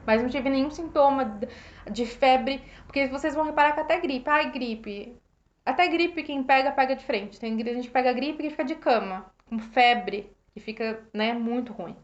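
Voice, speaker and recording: A Brazilian woman with a slightly hoarse voice, reflecting casually on a book for her live viewers, with many restarts, thanking people by name. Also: high voice, moderate pace. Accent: Brazilian Portuguese. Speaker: host reading and reflecting on a self-help book. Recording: roomy, dull lo-fi live stream.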